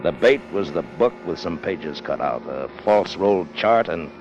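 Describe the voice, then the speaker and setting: Hard low-voiced ship's officer, old radio. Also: male voice, lively intonation, normal speed. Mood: brooding, grim. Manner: clipped, tough, commanding. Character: hard, cunning, distrustful first officer on a whaling ship. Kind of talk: 1950s radio drama acting, first-person narration. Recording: muffled old radio recording with music.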